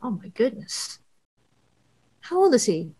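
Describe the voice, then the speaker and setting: A woman's voice: on the high side, big pitch swings, slow, dark tone, husky, no room sound, full character voice, poor audio. Woman reading a chatty middle-aged driver, dry sound. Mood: friendly. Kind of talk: online table read of a screenplay. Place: indoor room, video call.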